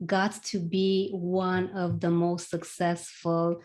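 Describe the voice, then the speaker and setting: A woman's voice: on the low side, little pitch movement, slow pace, darkish tone. A woman hosting a motivational podcast on a video call, speaking in an emphatic, encouraging way and repeating phrases for weight. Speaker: host.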